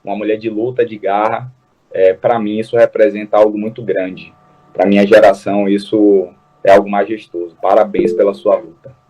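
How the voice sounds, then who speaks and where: A man's voice: lowish voice, little pitch movement, moderate pace, dark tone, highs cut off, slight background noise. A man speaks earnestly as a guest on a talk show, explaining his activism in a casual conversational way. He often stumbles and restarts his phrases mid-sentence. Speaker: guest, food security activist.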